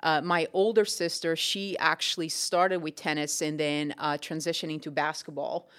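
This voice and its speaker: A young woman from Portugal speaks, answering a podcast host's questions about her tennis life. Her voice is smooth and clear, her intonation fairly level, and she pauses often with ums as she gathers her thoughts. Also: deep voice, fairly even intonation, a bit bright. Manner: thoughtful, many ums and restarts. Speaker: tennis coach. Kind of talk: casual interview answers.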